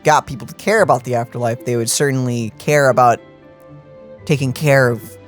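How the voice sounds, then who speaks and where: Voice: medium pitch, steady tone, normal speed, faint music behind. A gaming co-host giving casual, dryly sarcastic and questioning reaction commentary.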